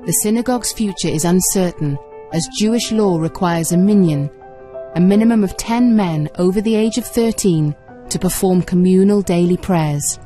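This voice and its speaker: A woman's voice: fairly low pitch, moderate pace, dark tone, faint music behind. A woman reading scripted narration for a television documentary.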